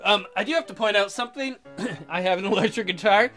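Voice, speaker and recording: A man's voice: high-pitched, fast talker, bright, forceful tone. A man hosting a let's play, reading visual novel narration in an informal way and interrupting himself with casual commentary. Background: music with guitar.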